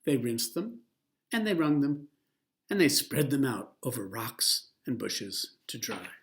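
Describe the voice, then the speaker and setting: A man reading a picture book aloud as a storyteller, with a lively, expressive delivery. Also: mid-range voice, measured pace.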